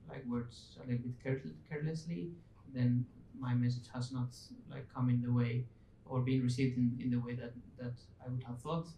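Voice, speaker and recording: A man who speaks English as a second language, reflecting hesitantly during a panel discussion, searching for his words. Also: warm tone. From outside Finland. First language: not English. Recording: echoey room, distant mic, low rumble.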